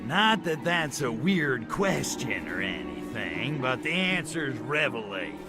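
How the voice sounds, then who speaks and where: An actor playing a cocky, sarcastic alien invader in disguise in a video game, with a sardonic, drawn-out delivery. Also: male voice, mid-range voice, sing-song. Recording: loud game music behind voice.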